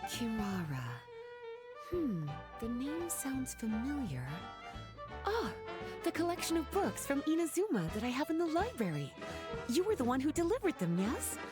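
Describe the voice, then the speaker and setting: A woman's voice: sits high, big pitch swings, normal speed. A woman voicing an elegant, teasing and doting librarian mage in a video game, with a coy, affectionate politeness. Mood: pleased recognition. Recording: background music under voice.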